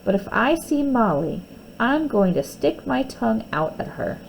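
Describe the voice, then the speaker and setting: A woman's voice: a little high, very animated, normal speed, gravelly, a little hiss or hum, pitched above the performer's own voice, low-quality recording. A woman voicing a bossy, quarrelsome young girl from a children's storybook, with emphatic delivery. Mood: sulky.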